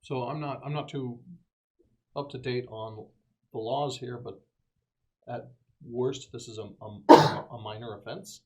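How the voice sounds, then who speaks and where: A man's voice: mid-range voice, steady tone, a little slow, long pauses, a little mellow. A man talking through plans in character as a player in a tabletop role-playing game.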